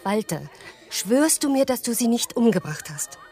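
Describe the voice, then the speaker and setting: A woman's voice: on the high side, sing-song, moderate pace, warm tone, faint music behind. A woman playing a doubting, earnest lover in a German radio drama, probing and restrained. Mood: pleading, firm.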